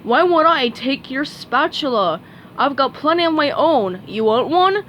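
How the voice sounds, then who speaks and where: A woman's voice: high voice, expressive, measured pace, a bit bright, slight background noise, voice pushed up. A woman voicing a tiny scheming male plankton villain, eager and wheedling.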